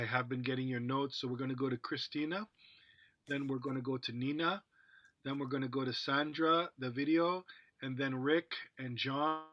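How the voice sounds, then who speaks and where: A man hosts an online memorial, announcing the next speakers with a flat, even delivery. He stumbles and repeats himself. His audio is dull and dry, like a video call. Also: mid-range voice, dry recording. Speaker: host of the memorial. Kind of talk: moderating an online event. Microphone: video call microphone.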